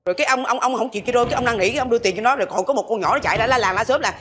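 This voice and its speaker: A woman's voice: on the high side, rapid-fire, fairly bright tone, slight background noise, natural voice. A woman with a Southern Vietnamese accent playing a jealous, scolding old wife in a comedy sketch, complaining and piling up questions. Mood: exasperated scolding. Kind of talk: broad comedy sketch acting.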